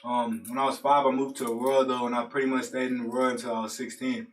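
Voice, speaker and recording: A young man from Colorado chats casually as a co-host over a meal, relaxed and slangy, and drawn out when he explains something. His voice sits in an echoey room, picked up from a distance with a faint hiss behind. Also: medium pitch, fast. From Colorado, USA. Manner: easygoing, explains at length, slangy. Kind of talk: casual group chat over food. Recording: roomy, distant mic, faint noise.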